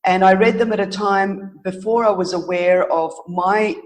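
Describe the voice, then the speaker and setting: Earnest, halting woman in interview. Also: medium pitch, steady tone. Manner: earnest, halting, thoughtful pauses with self-corrections. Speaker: interview guest, author. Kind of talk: reflective, casual interview answers.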